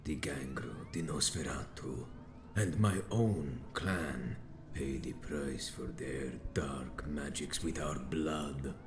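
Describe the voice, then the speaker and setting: An actor playing an ancient, proud and vengeful vampire elder in a video game, speaking gravely in formal, archaic, theatrical phrases. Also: male voice, slow, reverberant, noisy background, heavily acted. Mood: bitter, vengeful. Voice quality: very deep, grave.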